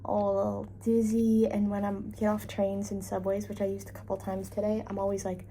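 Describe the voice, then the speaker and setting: A woman's voice: mid-range voice, completely flat delivery, fairly quick, mellow, slight background noise. A young woman from Labrador, Canada, chatting casually in a travel vlog, rambling and self-deprecating, hot and tired. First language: English. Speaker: travel vlogger.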